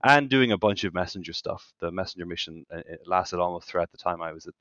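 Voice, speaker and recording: Irish guy in his 40s, low voice, chatty and wry, dull dry call audio. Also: fast talker, a bit bright, no room sound. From Ireland. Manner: chatty and wry, jokes about himself. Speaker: planetary science professor, guest. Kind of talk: casual interview chat. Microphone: remote call.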